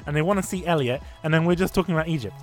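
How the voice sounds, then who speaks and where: A man's voice: fairly high pitch, rapid-fire, soft background music. A young man from Britain hosting a casual podcast, rambling and joking with laughs as he talks. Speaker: podcast host.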